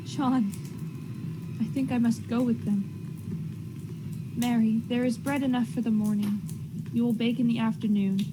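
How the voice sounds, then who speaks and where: A woman's voice: a little high, fairly even intonation, a little slow, pauses often, music in the background, natural voice, poor audio. A woman playing a steadfast, dutiful Puritan farmer's wife, plain-spoken in old-fashioned rustic speech, in a radio play. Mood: resigned resolve.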